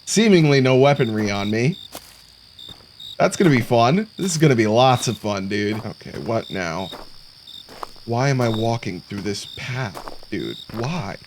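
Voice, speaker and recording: A man's voice: mid-range voice, lively intonation, measured pace, noisy background. A man giving casual let's play commentary on a horror game, sarcastic and jokey as he mutters to himself. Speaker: gaming content creator. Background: horror game sounds of crickets and insects.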